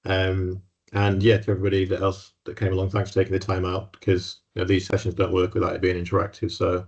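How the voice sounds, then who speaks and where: A man with a very deep, husky voice hosts the workshop in a friendly, chatty way. His delivery stays flat and even. The audio sounds dull and lo-fi. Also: fast. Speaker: workshop host. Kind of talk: hosting an online workshop.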